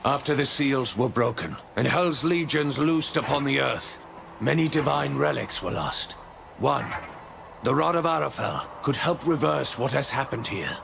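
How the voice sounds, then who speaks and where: A lofty, creaky angel ruler. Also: male voice, mid-range voice, noisy background. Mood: grave. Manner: grand, measured and prophetic. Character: proud male angel ruler, lofty and weary. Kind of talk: video game cutscene acting.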